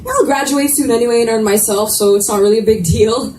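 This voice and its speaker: A woman reading the part of a proud, defensive young art student in a romance story, with halting, casual phrasing. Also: fairly high pitch, darkish tone, lightly acted. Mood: halting, vulnerable. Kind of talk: dramatic reading of a romance novel. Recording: echoey room, distant mic, faint noise.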